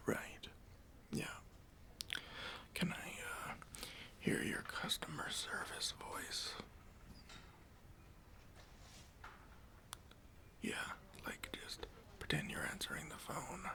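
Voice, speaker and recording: A performer voicing a smug, self-important boss in a whispered ASMR job interview roleplay, condescending and awkwardly hesitant, with a breathy, gravelly whisper. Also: mid-range voice, huge pitch swings, slow pace, pauses often, pushed and bright, heavily acted. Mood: entitled, dismissive.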